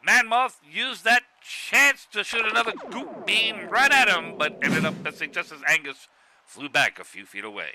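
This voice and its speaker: A man giving excitable, scripted play-by-play commentary on a fight. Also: high-pitched, normal speed, crowd noise, poor audio.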